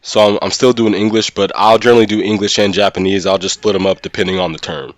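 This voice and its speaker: Very low-voiced man on a casual livestream. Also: steady tone, quick pace, dry recording. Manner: informal, slangy, checks in often with listeners. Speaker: streamer and host of a gaming channel.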